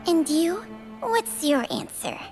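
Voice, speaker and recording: An ancient female spirit for a video game, with a high voice that swoops expressively. She is world-weary and wistful and takes her time, with loud music underneath. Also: sing-song, takes it slowly, exaggerated voice. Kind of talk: video game character dialogue.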